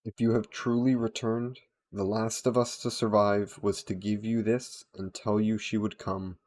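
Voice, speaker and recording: Man voicing a slow, solemn dying old woman. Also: fairly low pitch, level tone, darkish tone, lightly acted. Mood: solemn, forgiving. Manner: solemn, deliberate, formal.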